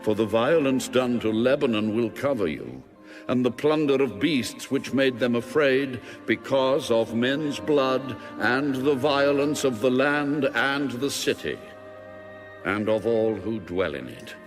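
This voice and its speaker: A man giving a solemn, dramatic scripture reading in a measured, weighty, declamatory manner. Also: mid-range voice, lively intonation. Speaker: audio Bible reader. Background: music under the reading.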